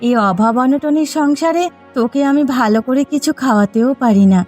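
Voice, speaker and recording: A woman voicing a poor widowed village mother in an animated story, gentle, tender and long-suffering. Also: sits high, a little fast, soft background music. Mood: sorrowful. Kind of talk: animated moral story voice acting.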